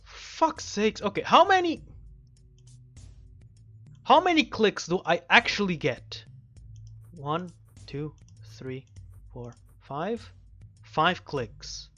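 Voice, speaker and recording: A woman's voice: on the low side, expressive, takes it slowly, pauses often, fairly bright tone. A woman giving casual let's play commentary in an exasperated, sarcastic, sweary manner, on slightly muffled audio. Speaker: gaming video host.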